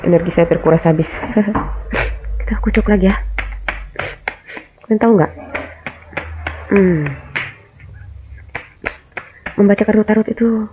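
A woman's voice: medium pitch, unhurried, long pauses, dull audio, noisy background. A woman with a cold hosting a casual tarot reading from a small bedroom, hesitant with many fillers and restarts. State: coughing, has a cold. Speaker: tarot reader, channel host.

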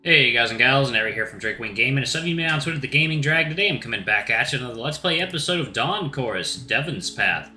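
A man hosting a Let's Play and reading a visual novel aloud, casual and slightly hoarse from being sick. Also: mid-range voice, lively intonation, fast, few pauses, sharp tone. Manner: casual, says y'all, restarts lines. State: sick, voice deeper than usual. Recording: roomy with faint music.

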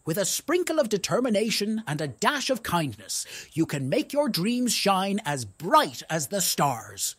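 Very animated children's storyteller, husky voice. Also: mid-range voice, a little slow, hardly any pauses, no room sound. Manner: playful, exaggerated emphasis.

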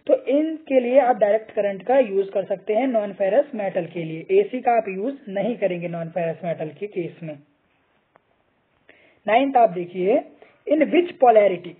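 A man's voice: high voice, husky. A male teacher patiently going through quiz questions and answers, reading each option aloud and mixing in English technical terms. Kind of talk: explaining quiz answers over slides. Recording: tinny phone-like sound.

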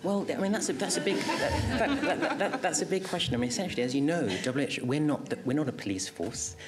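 A middle-aged man speaking as an expert panelist, answering off the cuff at a table microphone with halting restarts and emphatic repetition. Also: a little high, animated, a crowd in the background. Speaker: panelist, health agency official. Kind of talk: panel discussion answer, spontaneous expert talk. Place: conference auditorium panel table.

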